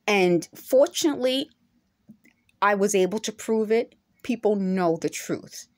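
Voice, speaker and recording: A middle-aged woman talking straight to her phone camera at home, earnest and insistent, returning again and again to her points. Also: medium pitch. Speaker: vlogger. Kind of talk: direct-to-camera monologue. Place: indoor room at home. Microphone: phone camera held close.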